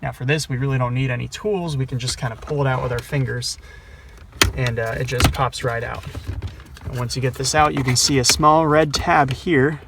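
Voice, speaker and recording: A man's voice: mid-range voice, fairly even intonation, moderate pace, gravelly, heavy background noise. A man presenting a casual, hands-on installation tutorial, explaining each step in a plain-spoken way. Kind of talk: casual hands-on how-to instruction. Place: in or at a car, working on the dashboard.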